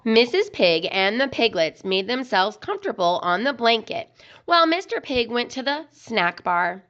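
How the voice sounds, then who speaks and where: A woman reading a children's book aloud in an expressive, deliberate storytelling style. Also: on the high side, dull audio.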